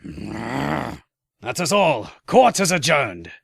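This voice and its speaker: A man's voice: medium pitch, sing-song, very slow, vocal fry, a bit bright, breathy edge, no room sound, studio quality, heavily acted. A man playing a stern, pompous old courtroom judge with a formal, authoritative delivery in a fan audio drama. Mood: stern, deliberating.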